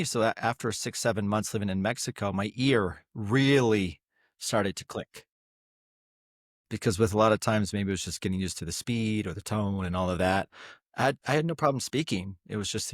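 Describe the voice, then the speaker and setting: A man answering as a podcast guest in a relaxed, easygoing way, often pausing with fillers. His voice is lowish, and it is recorded close and dry. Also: no room sound. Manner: relaxed, uses many fillers. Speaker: guest, business partner. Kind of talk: interview storytelling.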